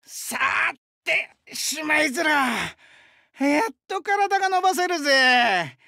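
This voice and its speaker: A male actor voicing a burly, friendly young sumo-wrestler god in an anime game, with rough, hearty speech and a rural Shinshu Japanese dialect. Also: extremely high pitch, very animated, a little slow, sharp tone, no echo, clean studio sound, heavily acted. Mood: excited. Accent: rural central Japanese dialect (Shinshu). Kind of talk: anime game character voice lines.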